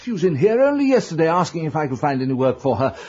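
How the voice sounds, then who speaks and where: A man's voice: a little high, sing-song, quick pace, dark tone, a little hiss or hum. A man playing a fussy, evasive small-town British letting agent in a radio drama, hesitant, rambling and eager to please. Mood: fussy, obliging. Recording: dull lo-fi radio drama recording.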